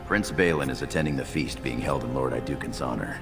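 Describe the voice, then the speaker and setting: Very deep, gravelly dwarf retainer. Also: male voice, a little fast, over loud music. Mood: measured, informative. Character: loyal male dwarf retainer, gruff but courteous. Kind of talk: fantasy video game dialogue.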